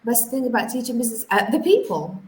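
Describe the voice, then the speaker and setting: A woman's voice: a little high, sing-song, fast, darkish tone. A woman, an English teacher answering interview questions casually, often repeating words as she thinks. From Algeria. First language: not English; English is her third language. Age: 30s. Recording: roomy echo, faint background noise.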